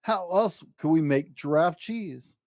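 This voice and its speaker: Man playing an excitable, boastful eight-year-old schoolboy. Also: a little high, measured pace, no echo, studio quality. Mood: insistent, excited. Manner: excitable, grandiose, theatrical. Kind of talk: animated rhyming picture-book storytelling.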